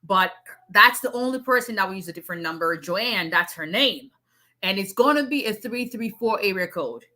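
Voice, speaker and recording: Middle-aged woman with a Nigerian-Southern US accent, a lawyer on a casual live stream: bright, punchy tone, fast and chatty. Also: mid-range voice. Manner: fast, chatty, with frequent asides and laughs.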